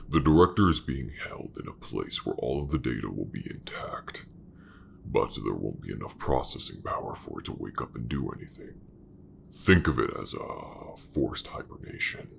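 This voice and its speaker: A man playing a cold, haughty, greedy smuggler captain in a sci-fi audio roleplay, measured and condescending. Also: rumbling low, animated, vocal fry, background noise, heavily acted.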